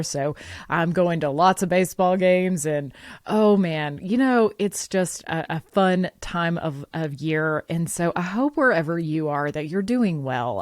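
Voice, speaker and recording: Woman host, chatty and gushing, lowish voice, dry close mic. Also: dry recording. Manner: warm, chatty, gushing, restarts often. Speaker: podcast host. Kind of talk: faith podcast hosting.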